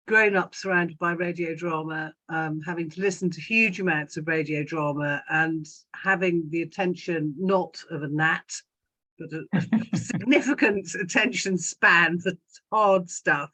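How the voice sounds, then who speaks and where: Bright, emphatic older woman. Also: on the low side, rough recording. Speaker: panellist. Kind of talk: thoughtful panel discussion.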